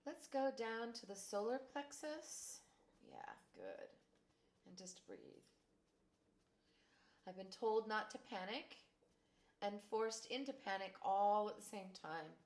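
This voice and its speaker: A woman guiding a tapping exercise, calmly giving steps and phrases to repeat. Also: mid-range voice, slow, long pauses. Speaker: tapping practitioner.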